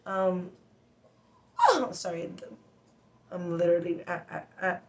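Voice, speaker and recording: A woman venting in a casual personal story, blunt and sweary, with halting, emphatic, agitated speech broken by sudden vocal tics. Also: on the low side, monotone, highs cut off. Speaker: vlogger telling a personal story.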